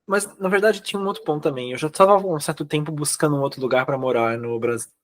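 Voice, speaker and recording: A young Brazilian man speaking Brazilian Portuguese, recounting his experiences in a relaxed, chatty way with many fillers and quoted dialogue. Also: on the high side, fast. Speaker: guest. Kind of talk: casual interview chat.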